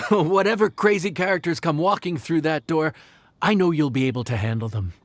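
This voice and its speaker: Friendly high-pitched man acting a restaurant worker. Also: moderate pace, close to an everyday voice. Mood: encouraging. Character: friendly, encouraging male restaurant worker in a fantasy game. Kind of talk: natural video game voice acting.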